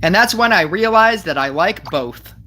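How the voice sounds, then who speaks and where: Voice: medium pitch, animated, moderate pace, bright, forceful tone, a little hiss or hum. A game streamer chatting casually over mobile gameplay, giggly and rambling with lots of fillers. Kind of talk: casual gameplay commentary with chat.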